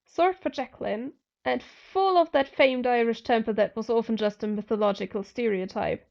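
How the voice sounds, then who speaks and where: A woman's voice: fairly high pitch, normal speed, vocal fry, a little mellow, highs cut off. A woman narrating first-person fiction expressively as an amateur audiobook reader.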